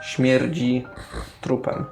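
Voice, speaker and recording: A man's voice: medium pitch, monotone, extremely slow, creaky, darkish tone. A man with a Polish accent narrating as game master in a conversational tabletop role-playing session. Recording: roomy echo with music behind. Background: music.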